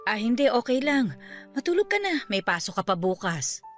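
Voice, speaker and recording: A woman's voice: fairly high pitch, sing-song, normal speed. A woman acting a caring, grieving middle-aged aunt in a Tagalog radio drama, in natural conversational dialogue. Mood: weary, tender. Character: grieving aunt who just lost her parents, caring, steadfast. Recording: music bed under the voice. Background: background music.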